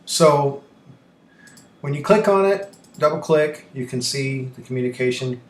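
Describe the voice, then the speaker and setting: A man's voice: medium pitch, measured pace. A man hosting a casual live-stream tutorial, rambling and thinking aloud in a self-deprecating way. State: allergy coughing and throat-clearing. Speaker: livestream host and ham radio operator. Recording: roomy echo, faint noise.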